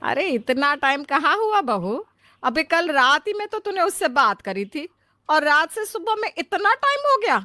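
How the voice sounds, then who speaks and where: A woman's voice: high voice, big pitch swings, measured pace, pushed and bright, no echo, heavily acted. A woman voicing a scolding, worldly-wise mother-in-law who lectures in a cartoon moral story. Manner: chiding, lecturing. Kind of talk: acted Hindi moral-story cartoon dialogue.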